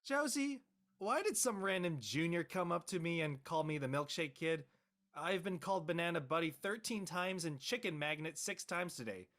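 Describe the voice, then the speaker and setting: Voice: mid-range voice, expressive, normal speed, fairly bright tone, natural voice. An actor voicing an embarrassed, exasperated teenage boy in a comedy drama, whiny and indignant. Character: embarrassed, exasperated teenage boy who became a viral meme. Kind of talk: comedic scripted audio drama dialogue.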